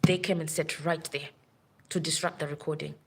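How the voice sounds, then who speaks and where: A woman's voice: low voice, steady tone, normal speed, slightly rough. A woman who works as a nurse, telling a long story in a rambling, urgent way on a live stream. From Africa.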